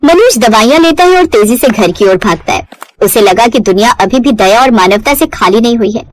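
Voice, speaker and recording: Woman, scripted story narration, dramatic, high pitch, animated intonation. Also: normal speed.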